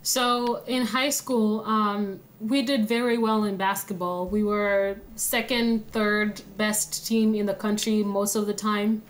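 A woman's voice: medium pitch, takes it slowly, hardly any pauses, a little hiss or hum, poor audio. Woman telling her story. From Kenya. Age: young adult. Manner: friendly, steady, laughs easily. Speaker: guest, app founder.

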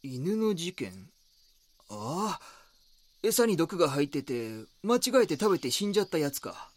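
A gruff local shopkeeper in an audio drama, speaking with a Kansai accent. His voice sits a little high and swings a lot in pitch. He is blunt, defensive and wary of outsiders. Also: big pitch swings, measured pace. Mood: wary, gossiping. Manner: blunt, curt, casual dialect.

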